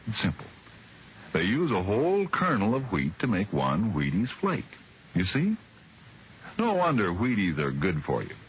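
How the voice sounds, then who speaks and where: A man's voice: fairly low pitch, huge pitch swings, moderate pace. A man delivering a folksy, scripted 1950s radio commercial. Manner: folksy, rhetorical questions. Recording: narrow vintage radio recording, faint noise.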